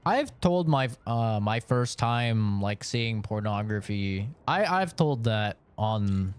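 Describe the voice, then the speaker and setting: Man in his late twenties, lively rambling chatter. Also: lowish voice, takes it slowly, smooth. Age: late 20s. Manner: rambling, frank, lots of "like" fillers. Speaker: streamer. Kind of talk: casual chat while gaming.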